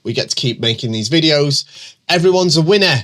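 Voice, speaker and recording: Middle-aged male host with a bunged-up, stuffy voice from a cold; bright, forward tone, casual and rambling. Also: on the high side, animated, brisk. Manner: chatty, many ums and restarts, reads questions aloud. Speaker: host answering viewer questions. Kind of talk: casual chat.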